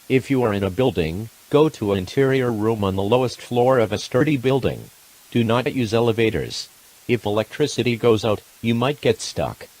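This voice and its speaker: Man voicing a low-pitched, impersonal emergency alert announcer. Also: darkish tone, rough recording. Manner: formal, deliberate, impersonal. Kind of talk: scripted emergency broadcast announcement.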